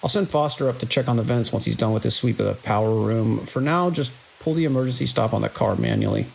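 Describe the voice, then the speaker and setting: A man playing a weary, skeptical security chief in an audio drama, speaking in a dry, authoritative, measured way. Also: medium pitch, creaky, slight background noise, lightly acted. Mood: commanding, calm. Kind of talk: immersive audio drama acting.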